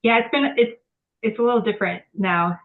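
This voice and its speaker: A woman hosting an online meeting in a relaxed way with filler words. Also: mid-range voice, fairly quick. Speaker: meeting host. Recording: tinny call audio.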